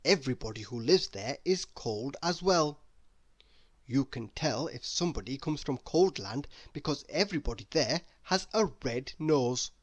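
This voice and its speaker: A storyteller reading a children's book aloud in an expressive narrating style. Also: medium pitch, no room sound.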